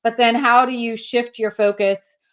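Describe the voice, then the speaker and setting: A woman's voice: fairly high pitch, little pitch movement, normal speed, fairly bright tone, smooth, lo-fi sound. A woman in her fifties, a native German speaker, chatting candidly as a sales trainer guest and laughing often. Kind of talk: casual interview chat.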